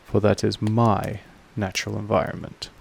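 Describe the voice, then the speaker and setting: A man playing a possessive vampire master in an intimate audio roleplay, speaking formally in a measured, softly menacing way with a gravelly creak. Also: lowish voice, completely flat delivery, exaggerated voice. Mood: calm, instructive. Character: possessive male vampire master, calm, commanding, quietly affectionate.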